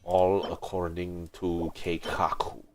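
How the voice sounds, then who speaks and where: A man's voice: low-pitched, flat delivery, takes it slowly, music in the background. A man giving casual, off-the-cuff commentary while livestreaming a video game, in short reactive bursts.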